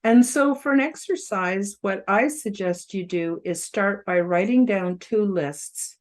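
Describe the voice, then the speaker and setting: A woman around seventy with a loud, carrying voice, giving a casual self-help talk with fillers and emphatic rises. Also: medium pitch, unhurried. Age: about 70. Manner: loud voice that carries, outspoken. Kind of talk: casual spoken presentation to camera.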